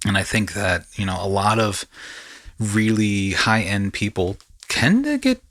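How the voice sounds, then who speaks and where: Man, podcast host, lowish pitch, forceful bright tone, halting and thoughtful, conversational, dry studio sound. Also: big pitch swings, no echo. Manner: halting, reflective, searching for words.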